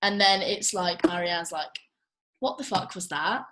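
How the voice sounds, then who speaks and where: A woman's voice: lowish voice, unhurried, pushed and bright. A woman making casual script suggestions, thinking aloud in loose, informal speech peppered with filler words.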